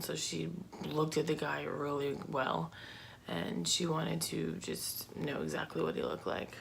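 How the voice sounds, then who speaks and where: A woman telling a true crime story in a casual, informal, slangy and halting way. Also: deep voice. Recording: clear background noise.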